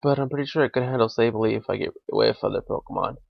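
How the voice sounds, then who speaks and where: A young man with a raspy, gravelly voice that is a little hoarse from being sick. He thinks out loud through a competitive match with plenty of ums and uhs. The sound is dull and lo-fi, with almost no room around it. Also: mid-range voice, fast, dry recording. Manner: thinking aloud, with frequent fillers. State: slightly hoarse from illness. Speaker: gaming commentator. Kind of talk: live competitive game commentary.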